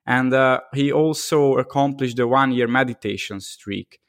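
A man's voice: mid-range voice, no echo, clean studio sound. Man hosting a podcast. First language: Hungarian. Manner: halting, many fillers and restarts. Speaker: podcast host. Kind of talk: casual interview chat.

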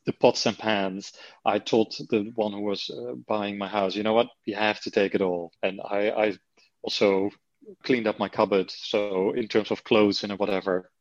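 A man's voice: lowish voice, normal speed, dry recording, lo-fi sound. A man from the Netherlands with a Dutch accent, chatting casually as an interview guest, with lots of fillers. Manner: relaxed, chatty, frequent fillers. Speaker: marketing executive guest. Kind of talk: conversational interview.

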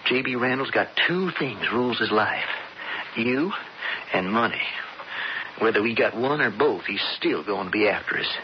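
A man's voice: on the low side, expressive, normal speed, natural voice. Man playing folksy young frontier robber, American, intense and coaxing; muffled radio with noise. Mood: urgent persuasive. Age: young adult. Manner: urgent, folksy. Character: intense, persuasive young male outlaw brother. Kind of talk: radio Western drama acting. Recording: muffled, noisy vintage radio broadcast.

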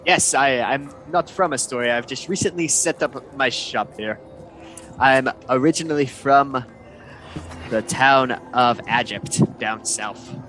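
A man's voice: mid-range voice, measured pace, a bit bright, soft background music, natural voice. A man voicing a polite, eager male shopkeeper with a salesman's patter in a tabletop game. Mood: welcoming. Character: smooth-talking male shopkeeper, eager to sell.

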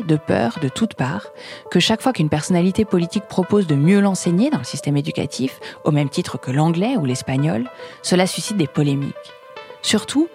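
A woman with a fairly low voice hosting a podcast, delivering a scripted introduction in a measured, composed way. Faint music plays quietly underneath her. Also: gravelly. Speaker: podcast host. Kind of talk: scripted podcast narration and interviewing.

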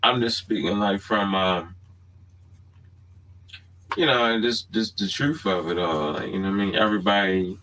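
A very low-pitched man, talking loosely and circling his point as a podcast co-host. He keeps dropping "you know what I mean" between thoughts. A faint hum of noise sits under the recording. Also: normal speed, long pauses, pushed and bright, low-quality recording. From Baltimore, USA. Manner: laid-back, rambling, fills with "you know what I mean". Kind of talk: casual chat.

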